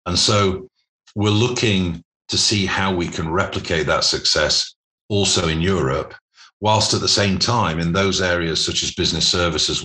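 Man, very low pitch, bright forceful tone, corporate phrasing, business interview guest. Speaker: podcast guest, private equity investor.

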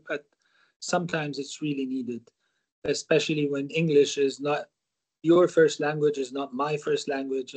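A man's voice: on the high side, slightly rough, poor audio. A man, a guest reflecting on his career in an interview, often repeating words for emphasis. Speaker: guest, former corporate manager. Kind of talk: storytelling interview answers.

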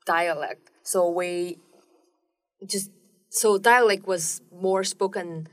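A woman from Sichuan whose first language is Mandarin Chinese, speaking English with a Mandarin accent as an interview guest, relaxed and sharing personal experience. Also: on the low side, slow pace. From Sichuan, China. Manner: relaxed, recounting experiences with fillers. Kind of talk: casual personal storytelling. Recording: distant mic in a room.

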